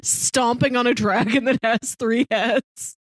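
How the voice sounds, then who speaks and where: A woman's voice: fairly high pitch, fairly even intonation, takes it slowly, no echo. Casual woman podcaster with a dry, close sound. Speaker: podcast co-host. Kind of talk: casual movie discussion.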